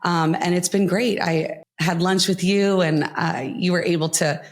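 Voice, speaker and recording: A woman in her thirties, a podcast guest, talking earnestly and warmly about her volunteer work, with frequent ums and pauses. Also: lowish voice, steady tone. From Florida. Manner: earnest, heartfelt, with frequent fillers. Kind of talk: casual interview talk.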